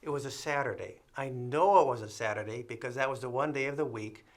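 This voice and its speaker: A man's voice: medium pitch, fast. An actor in his fifties playing a man recalling a day of his life for an interview. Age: 50s. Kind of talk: film dialogue.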